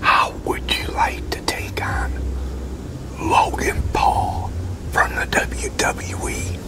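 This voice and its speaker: Older man whispering slowly. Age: 60s. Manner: unhurried, halting, one-sided conversation. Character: easygoing male wrestling promoter in his office. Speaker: ASMR roleplayer playing a wrestling promoter. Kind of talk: whispered ASMR roleplay. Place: open-sided concrete pavilion outdoors, at a metal picnic table.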